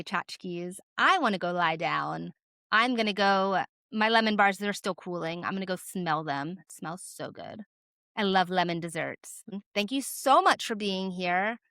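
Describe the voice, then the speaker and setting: A woman in her twenties hosting her own vlog, talking casually and rambling with lots of filler words and sudden lifts in pitch for emphasis. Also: no room sound, studio quality. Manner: animated, jumps up in pitch for emphasis. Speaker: vlogger host. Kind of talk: casual talk to camera.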